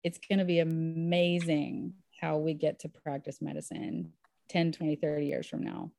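A woman's voice: low-pitched, animated, moderate pace, creaky, mellow, a little hiss or hum. A woman physician appearing as a podcast guest. She speaks in an upbeat, enthusiastic, conversational way. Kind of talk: casual interview talk. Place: home in Nashville.

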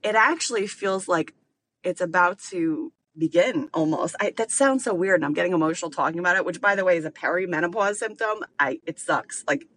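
Bright-voiced woman rambling candidly. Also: fairly low pitch, expressive, brisk. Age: late 30s. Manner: rambling, candid, digressive, heavy on filler words. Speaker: podcast host. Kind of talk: casual solo monologue.

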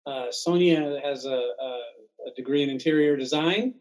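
A man's voice: a little high, moderate pace, rough recording. A man chatting casually as a podcast guest in a loose, easygoing way. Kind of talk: casual chat.

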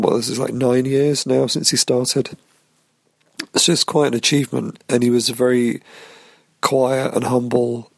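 A man leaving a voicemail, speaking with a hoarse, croaky voice in a rambling, halting way full of ums and restarts. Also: mid-range voice, dry recording. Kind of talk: casual voicemail tribute.

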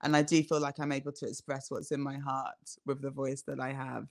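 A woman's voice: extremely low pitch, flat delivery, fairly quick. A woman speaking reflectively in a casual podcast chat, laughing between her points. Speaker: podcast guest.

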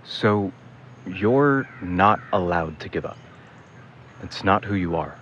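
Guy playing supportive but exasperated young sibling, audio drama, really animated pitch, slightly muffled and noisy. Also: slow, exaggerated voice. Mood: earnest, encouraging. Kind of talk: naturalistic audio drama acting.

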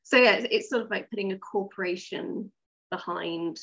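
A woman's voice: medium pitch, normal speed, creaky, a bit bright, highs cut off. A woman writer talking casually as an interview guest, explaining things thoughtfully and piece by piece.

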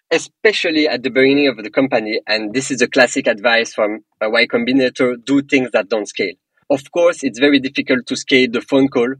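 A man's voice: medium pitch, a bit bright, breathy edge, dry recording, poor audio. A man from Belgium with a French accent, a startup co-founder answering podcast interview questions in an earnest, motivational way with small non-native grammar slips.

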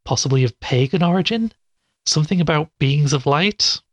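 An actor playing a skeptical investigative podcast host in an audio drama, haltingly thinking aloud. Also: male voice, fairly high pitch, expressive. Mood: puzzled, skeptical. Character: skeptical male investigative show host puzzling over clues.

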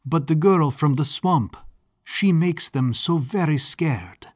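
A man voicing a young woman of a traveling caravan, who talks brightly and earnestly. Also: low-pitched, lively intonation, slow, no echo, clean studio sound, pitched above the performer's own voice, exaggerated voice. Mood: grave. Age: 20s. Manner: bright and chatty, turning grave.

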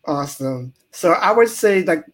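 A man's voice: a little high, animated, moderate pace. A man hosting an interview podcast, asking rambling questions in a playful, joking way. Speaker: podcast host.

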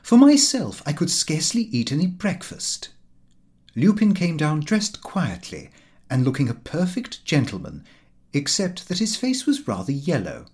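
A man reading a comic diary aloud in an expressive, theatrical way. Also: on the high side, unhurried. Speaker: audiobook narrator. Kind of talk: scripted literary narration of a comic diary.

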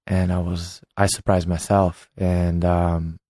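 A man in his late twenties from Ukraine, telling his own story as a former competitive swimmer in a slow, halting way. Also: sits low, little pitch movement, warm tone, no room sound, clean studio sound. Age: late 20s. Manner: unhurried, halting, recounting a memory. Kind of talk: personal storytelling.

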